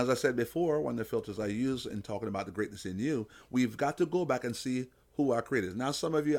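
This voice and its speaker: A man's voice: medium pitch, a little mellow. A man giving an emphatic, preacher-like motivational talk on faith as a podcast host. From Jamaica. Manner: emphatic, preacher-like, illustrates with simple analogies.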